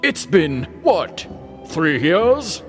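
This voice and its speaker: A man voicing a kindly old wizard in a comedic fantasy parody, with grand, theatrical, drawn-out phrasing. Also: on the high side, very animated, heavily acted. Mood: delighted surprise. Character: kindly old male wizard, weed dealer, grandly earnest. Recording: music playing behind.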